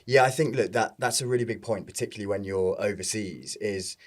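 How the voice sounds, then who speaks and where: A man hosts a relaxed sit-down interview with a client, guiding him with easy prompts and echoing his points. His voice sits somewhat low and speaks in a plain, friendly tone. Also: brisk, a little hiss or hum. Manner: friendly, prompting, repeats guest's words. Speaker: interviewer, firm founder. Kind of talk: casual interview hosting.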